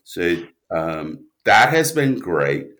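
A man talking casually as a podcast guest, an animated storyteller who rambles and interrupts himself. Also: deep voice, extremely slow. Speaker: guest, audio drama writer and producer.

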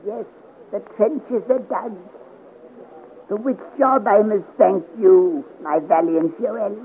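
Tiny cocky gallant soldier, high voice, takes his time, very theatrical, vintage radio drama. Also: slow pace. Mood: delighted. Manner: theatrical, effusive. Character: small dapper soldier, boastful, hot-tempered, warm-hearted gallant. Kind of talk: 1950s radio serial adventure acting. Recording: noisy, narrow-band vintage radio recording.

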